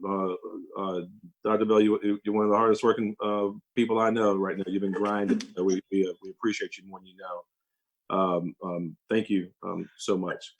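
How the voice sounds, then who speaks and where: A man chairing a virtual meeting as mayor, talking in a halting way over a video call. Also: low-pitched, poor audio. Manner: halting, many fillers and restarts.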